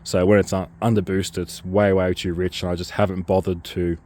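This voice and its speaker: A very low-voiced man walks through his car projects in a casual vlog. He is coming down with a cold and his voice is a little worn. He talks in a loose, rambling way, full of ums and restarts. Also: little pitch movement, brisk, a little mellow. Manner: rambling, hesitant, lots of ums. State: getting a cold, losing his voice. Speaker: car vlogger.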